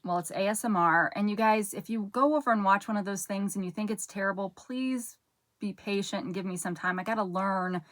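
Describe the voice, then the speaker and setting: A woman's voice: mid-range voice, fairly quick, fairly bright tone, no room sound. A woman hosting her own channel, chatting casually as she unpacks and sorts through a haul, rambling and breaking off mid-thought, with a soothing voice. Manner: rambling, chatty, self-interrupting while sorting items. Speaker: channel host. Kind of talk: casual haul vlog chat.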